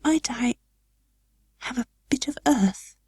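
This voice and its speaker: A timid, eager little girl from a storybook, as a woman narrator puts her on. Her voice sits high and trembles, pleading and hesitant, in a very close, dry recording. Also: animated, takes it slowly, dark tone, no echo, heavily acted. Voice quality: tremulous, breathy when nervous.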